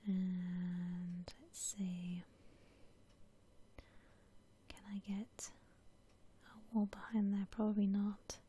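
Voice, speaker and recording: A woman whispering softly in a gentle, unhurried, musing way, as in ASMR commentary on a game she is playing. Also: medium pitch, level tone. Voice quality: soft breathy whisper. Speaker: gaming ASMR host.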